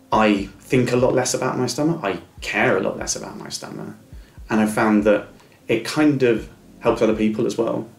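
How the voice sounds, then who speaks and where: Man with a stammer, low gravelly voice, earnest encouraging tone, echoey room, faint music behind. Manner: stammers with repeated words and restarts. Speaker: interview guest. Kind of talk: reflective interview talk.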